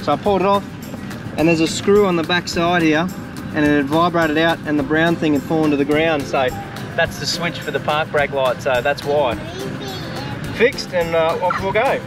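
A man's voice: on the high side, normal speed, over music. A man with an Australian accent, casually narrating a road-trip travel vlog outdoors in a laid-back, rambling way. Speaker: travel vlogger. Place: outdoors by a highway and camp.

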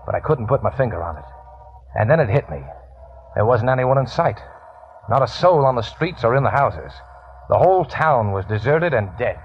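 A man's voice: fairly low pitch, natural voice. An actor playing a cocky, wisecracking gambler who narrates his tale in hardboiled 1950s radio drama style. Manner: wry, flippant. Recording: muffled, noisy old radio recording.

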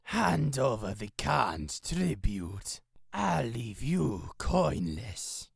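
Man as a slow, sinister demon collector. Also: mid-range voice, sing-song, vocal fry, breathy edge, no echo, clean studio sound, exaggerated voice. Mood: sinister greed. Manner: slow, drawn-out, menacing. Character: greedy, sinister male demon tribute collector. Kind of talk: fan impression of video game villain.

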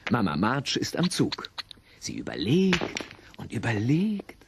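A man's voice: medium pitch, wildly swooping pitch, measured pace, vocal fry, noisy background. A man narrating a children's audio drama with theatrical, wry storytelling.

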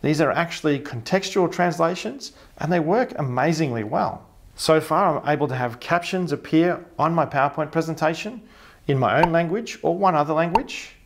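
A man's voice: on the high side, lively intonation, moderate pace, slight background noise. A man in his thirties with a thick Australian accent, presenting a scripted tech tip tutorial straight to camera in an upbeat, explanatory way. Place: indoor studio with a blurred office backdrop.

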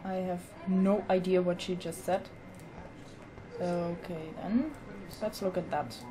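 A woman's voice: lowish voice, steady tone, slow pace, a crowd in the background. A woman casually commenting as she plays a game, offhand and hesitant, with dry sarcastic asides. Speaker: let's play host.

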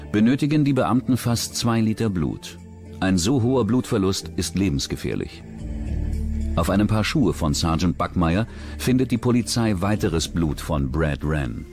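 A man narrating a true-crime documentary in a measured, serious scripted delivery. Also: on the low side, darkish tone.

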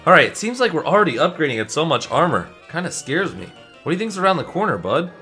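Man playing a bright-voiced young superhero, chatty and excitable. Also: on the high side, fast talker, soft background music. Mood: wary. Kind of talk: improvised Minecraft roleplay, casual chatter.

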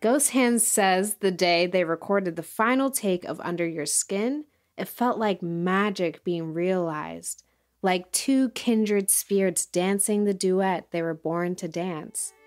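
An actress playing an earnest music documentarian, narrating in a deliberate, poetic, dramatic manner. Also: female voice, lowish voice. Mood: earnest, reverent.

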